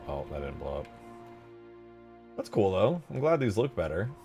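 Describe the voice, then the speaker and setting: Male game modder, low register, lively intonation, informal think-aloud delivery over music. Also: moderate pace. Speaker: game modder showing work in progress. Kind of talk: casual rambling screen-share commentary.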